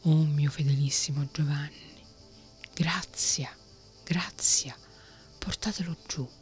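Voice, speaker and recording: A woman voicing a grieving fairy-tale king in a soft whisper, low in pitch, with faint music behind. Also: steady tone, slow pace. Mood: sorrowful.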